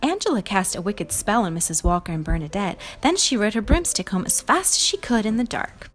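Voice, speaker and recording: A woman's voice: medium pitch, sing-song, brisk, faint music behind. A woman hosting a read-aloud, telling a storybook to young children with emphatic expression. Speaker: storybook reader and show host.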